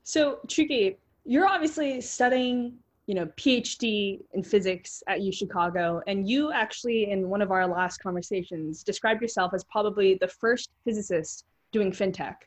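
A woman's voice: medium pitch, normal speed. Host read: young woman in her twenties, upbeat and polished, mid-range voice. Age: 20s. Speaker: podcast host. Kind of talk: scripted podcast intro and interview.

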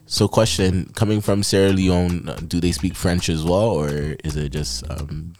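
A man's voice: low voice, fairly even intonation, moderate pace, a little mellow. A man hosting a casual podcast chat, asking questions and chiming in with playful reactions. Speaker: podcast host.

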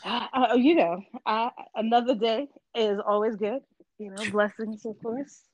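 A woman from Miami, Florida speaks as a podcast guest, relaxed and easygoing with plenty of ums. Her voice comes through a dull, muffled line, and the sound is dry, with no room around it. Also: on the high side, measured pace, no room sound. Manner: relaxed, frequent ums and laughs. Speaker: guest, vegan business owner. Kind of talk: casual interview chat.

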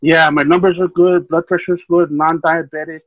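A man's voice: on the high side, level tone, brisk, no room sound. A man from Hawaii telling his life story as a podcast guest, rambling with many fillers and restarts. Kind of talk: casual storytelling. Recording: tinny phone-like call line, dry.

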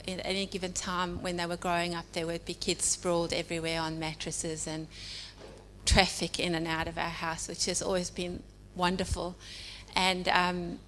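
A South African woman in her forties delivers a casual sermon in a medium-pitched voice, pausing often and filling gaps with ums. The long reverb of a church hall trails behind her words. A faint hum sits under the recording. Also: a bit bright. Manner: conversational with many ums and pauses. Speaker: church speaker. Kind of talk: informal sermon.

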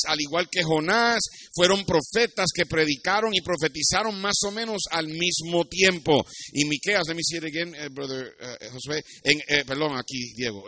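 Bright-voiced Puerto Rican pastor preaching. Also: male voice, fairly high pitch. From Puerto Rico. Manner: didactic, switches into English asides. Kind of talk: sermon with Bible teaching and personal anecdotes.

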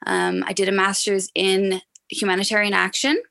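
A woman's voice: medium pitch, level tone, moderate pace, a bit bright. A Canadian woman in her thirties, a shop owner, friendly and explanatory with frequent ums as a podcast guest. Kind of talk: podcast interview.

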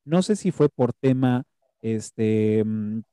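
A man's voice: mid-range voice, lively intonation, slow, dark tone, clean tone, no room sound, clean studio sound. A man hosting a casual film review chat, talking hesitantly and often restarting his words.

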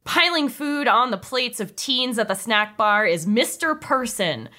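A woman's voice: fairly high pitch, expressive, unhurried, pushed and bright. A woman reading stage directions as narrator of a comedy table read.

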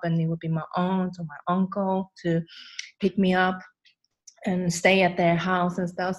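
A woman's voice: lowish voice, lo-fi sound. A woman from Sweden whose first language is Hakka, a lash artist speaking as a podcast guest, talking freely with many fillers and non-native grammar. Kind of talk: podcast interview.

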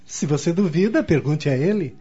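A tender, reassuring husband in a radio soap. His voice is dark and breathy at a medium pitch, on a dull recording. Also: animated, moderate pace. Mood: apologetic, tender. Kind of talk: radio soap opera acting.

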